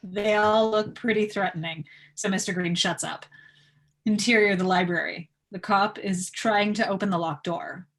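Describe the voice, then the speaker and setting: A woman narrates a screenplay at an informal table read. Her voice sits at a medium pitch as she reads out scene headings and action. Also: steady tone, normal speed. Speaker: narrator reading stage directions.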